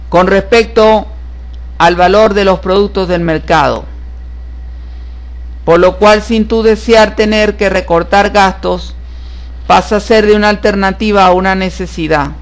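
A man giving a solemn, repetitive horoscope reading over tarot cards, stressing each point as certain. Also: high-pitched. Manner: solemn, repetitive, emphatic about being certified. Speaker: tarot reader and astrologer.

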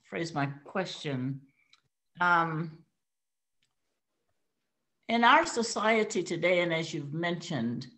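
An older woman, seventies or above, asking a careful question on a video call, halting as she finds her words. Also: fairly low pitch, fairly bright tone. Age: older, 70s or above. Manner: halting, deliberate, pauses to find words. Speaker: audience member asking a question. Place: bright indoor room on a video call.

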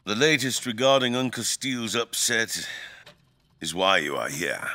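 A man performs an imperious fantasy monarch for an audio drama. The voice is deep and bright-edged, with brooding weight. The diction is formal and theatrical, each phrase placed deliberately. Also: very animated, heavily acted. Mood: wry, commanding. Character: grave, imperious fantasy king, eloquent and brooding.

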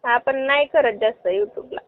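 A woman's voice: sits high, unhurried. A young woman with a rural western Maharashtrian Marathi accent, chatting casually on the phone. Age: young adult. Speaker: social media video performer. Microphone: phone line. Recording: tinny phone call recording.

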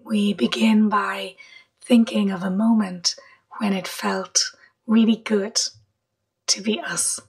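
Slow, husky woman guiding a meditation. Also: mid-range voice, fairly even intonation. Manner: gentle, unhurried delivery with long pauses between short phrases. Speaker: meditation guide. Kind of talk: guided meditation, slow and measured.

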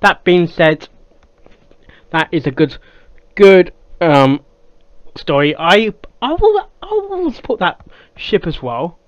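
Higher-pitched man, casual reaction host. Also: big pitch swings, takes it slowly, dry recording. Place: small cluttered room indoors. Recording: slightly dull, dry sound.

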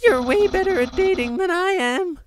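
A naive, vain male skeleton from a cartoonish video game. His delivery is theatrical and grandiose, with big swoops in pitch, over clearly audible music. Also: expressive, moderate pace, dry recording. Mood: dramatic shock. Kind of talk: video game character dub.

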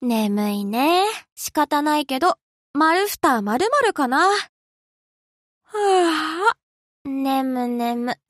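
High-pitched, easygoing anime navy girl. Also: lively intonation, extremely slow, no echo, studio quality, heavily acted. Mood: sleepy, weary. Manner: casual slangy girlish speech. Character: easygoing young navy destroyer girl, slangy, dutiful but sleepy. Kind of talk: Japanese anime game character voice lines.

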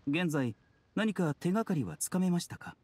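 A man playing a courteous, composed doctor in an anime game, speaking gently and formally. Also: high-pitched, very animated, normal speed, no room sound. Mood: calm. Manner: soft-spoken polite formal phrasing. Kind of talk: Japanese anime game voice acting.

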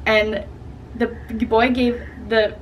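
A woman's voice: fairly high pitch, little pitch movement, slow, a little hiss or hum, poor audio. A young woman talking to a handheld camera in a room and a car, chatty and upbeat with lots of fillers. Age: young adult. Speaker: vlogger. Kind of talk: casual chat to camera. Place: hotel room, then parked car.

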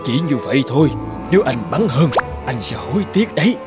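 A man voicing a magical talking grey horse who gives calm, wise advice in an animated fairy tale. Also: mid-range voice, fairly quick, music in the background, close to an everyday voice. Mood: advising.

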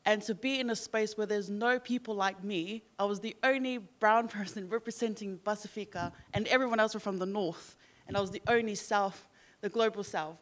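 A woman's voice: mid-range voice, moderate pace. Young woman, from Samoa, panel guest, casual storytelling, many fillers, handheld mic, event stage. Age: young adult. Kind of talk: panel discussion.

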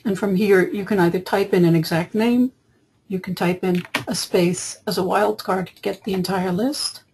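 A woman software trainer narrating a screen tutorial in an explanatory, step-by-step way, with a husky voice. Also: medium pitch, fairly even intonation, moderate pace, slight background noise. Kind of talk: screen-capture tutorial narration, semi-improvised. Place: voice-over for screen recording.

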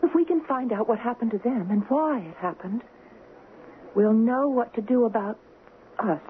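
An actress playing an earnest, romantic young woman haunted by the past, in 1970s radio drama style with dramatic pauses. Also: fairly high pitch, expressive, natural voice. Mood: pleading, intense. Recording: noisy, phone-like 1970s radio recording.

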